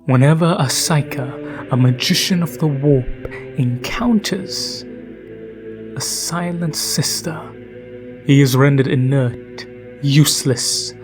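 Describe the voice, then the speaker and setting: A man delivering dramatic scripted lore narration, grave and portentous, in archaic oratorical phrasing with dramatic pauses. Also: mid-range voice. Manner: grave, theatrical, portentous, addressing the listener as traveler. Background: background music.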